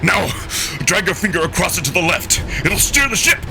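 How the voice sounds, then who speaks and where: A man's voice: sits high, sing-song, normal speed, creaky, background noise, pitched above the performer's own voice, heavily acted. A man voicing a commanding, hot-tempered alien wolf man in a dramatic visual novel, snarling out urgent orders. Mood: urgent, barking.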